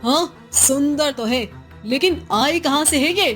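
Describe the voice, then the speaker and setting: A woman's voice: high voice, slow, soft background music. A woman playing a proud, jealous and scheming chieftain's wife in a cartoon story, haughty and scornful in manner. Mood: suspicious. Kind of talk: Hindi moral-story cartoon dialogue.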